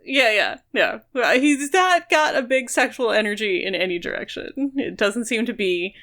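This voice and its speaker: A woman's voice: on the high side, lively intonation, normal speed, fairly bright tone. A woman co-hosting a podcast, casually discussing a book and explaining her reading at length in an analytical way. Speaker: podcast co-host.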